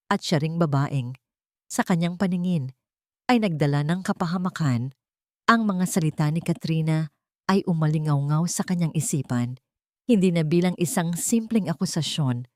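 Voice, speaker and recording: A woman reading a scripted audio drama in an emotive, deliberate storytelling manner. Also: fairly low pitch, a little mellow, dry recording, clean studio sound.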